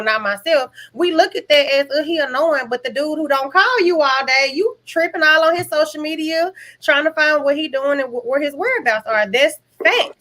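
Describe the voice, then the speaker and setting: A woman's voice: sits high, a bit bright. A woman on a podcast panel talking candidly and casually in slangy, conversational language.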